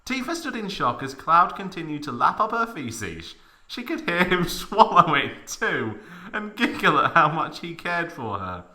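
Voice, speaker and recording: A man's voice: a little high, very animated, measured pace, pushed and bright. A man giving a mock dramatic reading of fan fiction, with theatrical, exaggerated emphasis.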